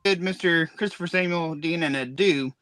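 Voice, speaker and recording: Bright-voiced man, wry and dry. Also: fairly high pitch, normal speed, dry recording. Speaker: podcast host and ring announcer. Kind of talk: casual podcast chat.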